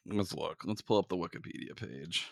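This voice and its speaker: A man's voice: low voice, moderate pace, gravelly, no room sound, clean studio sound. A man in his twenties co-hosting a casual sports podcast, ranting heatedly with plenty of swearing and fillers. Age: 20s. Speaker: podcast co-host.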